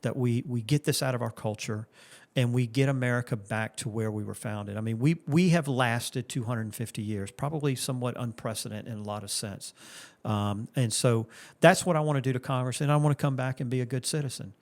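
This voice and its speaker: This is a man in his late fifties from Florida who speaks as a candidate for Congress. His voice sits at a middle pitch. He answers in an earnest way, with many restarts and repeated phrases. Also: a little fast, darkish tone. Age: 50s. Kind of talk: interview answers.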